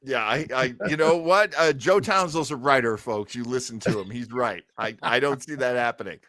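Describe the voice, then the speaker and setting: A man's voice: medium pitch, normal speed, a bit bright, dry recording. A man hosting a podcast, giving casual, irreverent comic commentary on old radio with a wry, joking manner. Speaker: podcast host.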